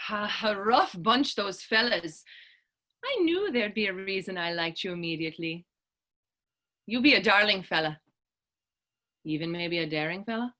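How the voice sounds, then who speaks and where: Female actor as determined Irish actress, crisp bright tone, lively lilting pitch, earnest, coaxing, spirited, stage drama. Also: normal speed, long pauses. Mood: teasing. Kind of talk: stage readers theater dialogue.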